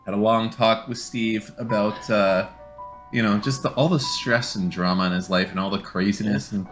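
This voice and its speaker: A man's voice: fairly low pitch, steady tone, normal speed, soft background music. A man chatting casually on a podcast-style streamed call. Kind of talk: casual chat.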